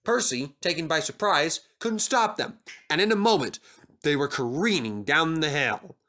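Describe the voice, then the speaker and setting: Bright, animated male storyteller. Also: a little high, normal speed. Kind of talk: scripted children's story narration.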